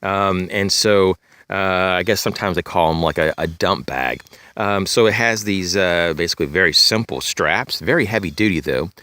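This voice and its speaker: Deep, congested man reviewing gear outdoors. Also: moderate pace, bright, forceful tone, no room sound. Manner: rambling, many fillers, frequent throat clearing. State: congested, slightly raspy from allergies. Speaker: gear reviewer, channel host. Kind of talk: casual show-and-tell gear review. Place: outdoors in leafy woods, bag on a chair.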